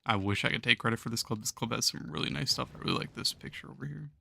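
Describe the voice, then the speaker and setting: A hoarse young man losing his voice, chatting casually with viewers on a gaming livestream and chuckling often. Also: medium pitch, very fast, sharp tone, no echo, studio quality. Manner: laid-back, chuckles while talking to chat.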